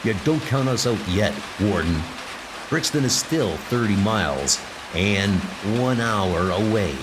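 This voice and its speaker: Guy acting a tough bossy crook boss, lowish voice, clipped orders, comic dub, rain noise behind. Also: lively intonation, unhurried. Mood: urgent, scheming. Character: hard-boiled gangster convict leader barking orders.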